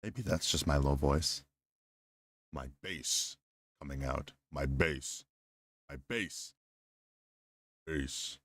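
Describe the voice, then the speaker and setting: A man's voice: very deep voice, drawn-out words, pauses often, fairly bright tone, no echo, studio quality. A man casually chatting on a gaming livestream, joking to himself and testing his microphone. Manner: joking, talks to himself, tests his mic. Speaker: streamer.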